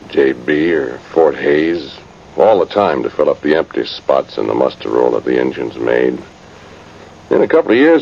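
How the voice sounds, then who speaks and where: A weary frontier cavalryman in an old radio drama. His voice is deep and plain, with a folksy, reflective delivery. The sound is dull and faintly noisy. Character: plain-spoken, weary cavalry trumpeter recalling his soldiering days. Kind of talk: first-person radio drama narration. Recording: muffled, noisy vintage radio recording.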